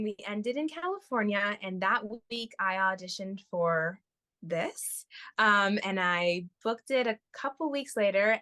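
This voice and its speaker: A woman's voice: mid-range voice, pushed and bright. A young woman from Florida, a touring musical theater performer, chatting as a guest in an interview and laughing often. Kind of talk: casual interview chat.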